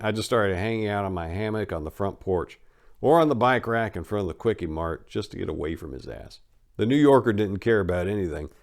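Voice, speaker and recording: Male memoir narrator with a fairly low voice, dramatic reading style, punching key words and letting asides fall away. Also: darkish tone.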